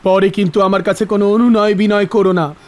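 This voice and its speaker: An actor voicing a stern, commanding male god of death who speaks formally and scolds with authority in an animated mythological story. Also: mid-range voice, brisk, soft background music, natural voice. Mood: solemn.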